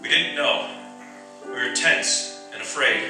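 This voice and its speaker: An actor playing an earnest, anxious young American prisoner of war in a radio play. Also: male voice, mid-range voice, takes it slowly, lightly acted. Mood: solemn, tense. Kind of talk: radio theatre narration and dramatic acting. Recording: echoey hall from far off, music behind.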